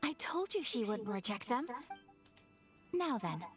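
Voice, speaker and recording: Polite highborn young woman in a game, high voice, very formal and poised. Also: big pitch swings, a little slow, over music. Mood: composed, serious. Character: refined, courteous young noblewoman of a ruling clan. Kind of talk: video game voice acting.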